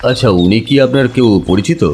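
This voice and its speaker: A man playing a calm, shrewd private detective with a measured, courteous, probing manner in an audio drama. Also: mid-range voice, a little hiss or hum, close to an everyday voice.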